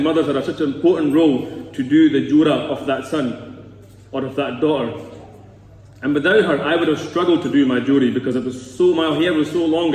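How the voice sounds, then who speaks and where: A man's voice: on the high side, darkish tone. Earnest Scottish man in echoey hall. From Scotland. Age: 30s. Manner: earnest, rambling, with asides to the audience. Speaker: speaker at a Sikh gurdwara. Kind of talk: personal talk to a congregation. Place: large echoey gurdwara hall. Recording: echoey hall recorded from a distance.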